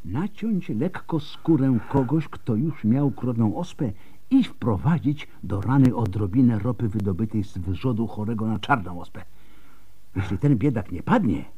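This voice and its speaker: An actor playing a tipsy, wry and animated physician in a radio drama, with a husky voice and a theatrical delivery. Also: male voice, medium pitch, normal speed. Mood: eager.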